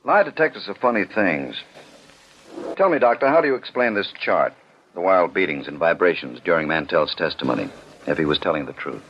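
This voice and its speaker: A man's voice: low voice, animated, a little fast, a little hiss or hum. A man playing a stern, skeptical commissioner in a 1940s radio drama, authoritative and unbending. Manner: authoritative, argumentative. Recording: dull old 1940s radio broadcast.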